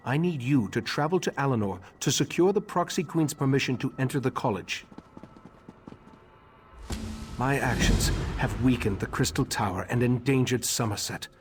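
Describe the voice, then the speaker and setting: An actor playing a grave, remorseful elven mage leader in a fantasy game, speaking formally with measured, slightly husky delivery. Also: male voice, medium pitch, a little hiss or hum, natural voice. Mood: grave, regretful. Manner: measured, formal, solemn exposition. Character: grave elderly male high elf mage leader, remorseful and scholarly.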